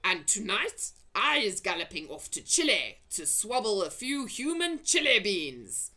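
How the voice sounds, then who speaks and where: A woman's voice: mid-range voice, big pitch swings, a little slow, sharp tone, exaggerated voice. A woman voicing a huge, vicious, greedy male giant from a storybook, roaring insults in broken, folksy grammar. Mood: boastful. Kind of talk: storybook character voice.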